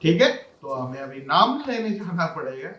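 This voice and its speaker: An Indian-accented man chats casually through gameplay at a very rapid pace. His voice is slightly high. It has a roomy echo, with game music playing behind. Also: big pitch swings, lo-fi sound, slight background noise. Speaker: gaming YouTuber.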